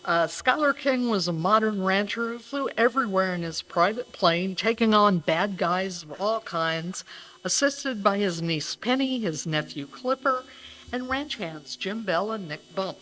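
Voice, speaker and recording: A woman's voice: mid-range voice, expressive, a little slow, fairly bright tone, a little hiss or hum, low-quality recording. A woman co-presenting a live show, giving a semi-scripted introduction in a conversational, explanatory manner. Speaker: co-presenter of a radio re-creation troupe. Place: live stage at a banquet.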